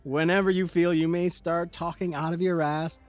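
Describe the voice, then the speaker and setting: A man's voice: a little high, little pitch movement, normal speed, faint music behind, close to an everyday voice. A man playing a wisecracking, easygoing city gang member who teases old friends in a casual audio drama. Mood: teasing. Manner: teasing, casual banter.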